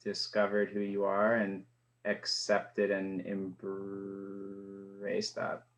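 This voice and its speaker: A man interviewing a guest, asking questions slowly and hesitantly. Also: deep voice, completely flat delivery, faint music behind. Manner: slow, measured, hesitant. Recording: dull lo-fi sound.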